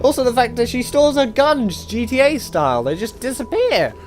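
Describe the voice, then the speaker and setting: A young man giving a casual, rambling and opinionated video game review, animated and informal. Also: extremely high pitch, moderate pace. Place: voice-over on gameplay footage. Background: game music.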